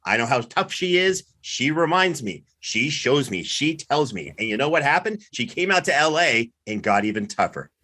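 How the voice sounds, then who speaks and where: A man's voice: medium pitch, very animated, moderate pace, hardly any pauses, bright, forceful tone. A man making a comic improvised argument as a debate contestant, emphatic and mock-serious in short punchy phrases.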